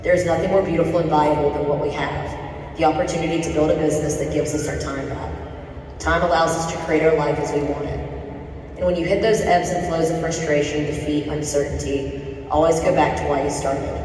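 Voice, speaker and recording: A man giving an inspirational speech with a steady, motivational delivery. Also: a little high, brisk, a little hiss or hum. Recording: echoey hall, from far off, music.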